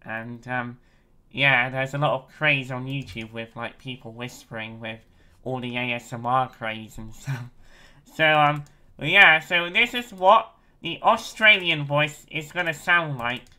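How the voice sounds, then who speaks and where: A young man in his twenties giving an excitable, casual video update from his bedroom on a webcam. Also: mid-range voice, unhurried, clear, resonant tone. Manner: excitable, chatty, frequent giggles. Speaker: game developer and streamer. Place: small bedroom, indoors. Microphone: webcam at desk.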